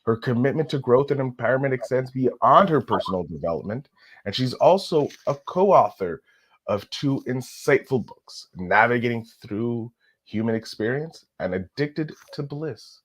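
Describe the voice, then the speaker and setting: A man's voice: medium pitch, expressive, normal speed. A man from Canada hosting an interview podcast, moving between scripted introductions and questions, sometimes stumbling and repeating words. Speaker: podcast host.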